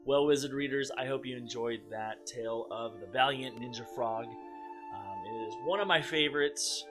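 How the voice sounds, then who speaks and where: A man's voice: medium pitch, moderate pace, a bit bright, faint music behind. A man hosting a children's read-aloud, narrating in a dramatized storytelling style. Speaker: children's story reader, host. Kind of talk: dramatized scripted narration, friendly sign-off to young viewers.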